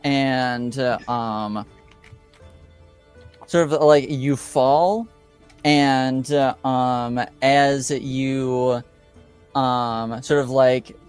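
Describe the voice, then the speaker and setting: Slow, halting male game master, clear voice. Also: mid-range voice. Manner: halting, hesitant, piecing scenes together in fragments. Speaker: game master of a tabletop roleplaying game. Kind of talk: improvised game narration.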